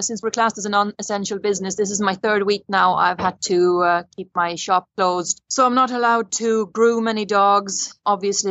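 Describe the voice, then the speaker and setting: Woman raised in sweden, steady matter-of-fact answers, dry muffled call audio. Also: mid-range voice, no echo. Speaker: dog groomer and volunteer medical courier rider. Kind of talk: remote interview answers.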